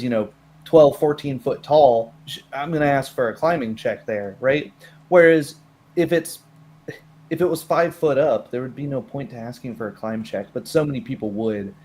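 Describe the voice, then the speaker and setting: A man's voice: medium pitch, darkish tone. A man chatting casually as a game master, agreeable and often checking in with his listeners. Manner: chatty and agreeable, often asking "right?" and "you know?". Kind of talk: casual chat after a tabletop roleplaying session.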